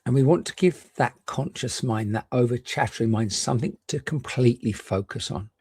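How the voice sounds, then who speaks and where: A man gently and reassuringly guiding a meditation, leaving unhurried pauses between short phrases. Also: mid-range voice, husky, dry recording, studio quality. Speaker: meditation guide. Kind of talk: guided meditation.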